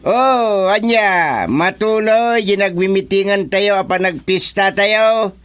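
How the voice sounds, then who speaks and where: A man playing a ponderous chairman of departed souls in a radio comedy drama, with a deliberate, presiding manner. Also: high-pitched, a bit bright.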